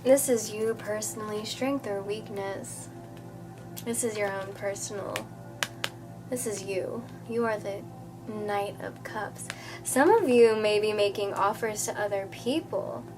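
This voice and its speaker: A woman's voice: medium pitch, measured pace, over music, poor audio. Nasal-sounding woman reading tarot, chatty. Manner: chatty, reassuring, many fillers and "okay?". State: nasal from a cold. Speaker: tarot reader. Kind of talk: casual tarot reading to camera.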